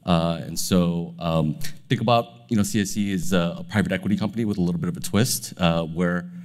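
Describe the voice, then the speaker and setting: A man with a low voice, raspy and hoarse from a cold, introduces himself on a conference panel before a live audience. He speaks haltingly, with many fillers. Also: darkish tone, long reverb tail. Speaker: panelist, company president.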